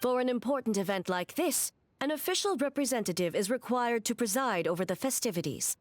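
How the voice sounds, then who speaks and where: A woman's voice: a little high, animated, no room sound, lightly acted. Woman as a stern, formal female ruler. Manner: formal, measured, matter-of-fact authority. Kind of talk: animated video game dialogue.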